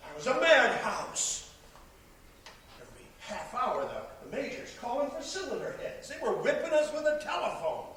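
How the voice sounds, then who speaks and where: A man on stage playing an older father, blustering and folksy, with emphatic, halting speech. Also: extremely high pitch, big pitch swings, fairly bright tone, heavily acted. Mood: agitated, defensive. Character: blustering, pleading, jovial older father, defensive businessman. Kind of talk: projected stage play acting. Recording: echoey stage hall, distant camera, noisy.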